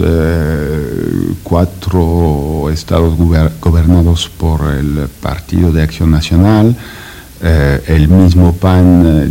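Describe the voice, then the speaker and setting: A man with a French accent answering interview questions in careful, halting speech full of hesitations and restarts. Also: sits low, creaky, dark tone. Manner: slow, halting with many "eh" fillers and restarts. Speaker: interview guest, French academic.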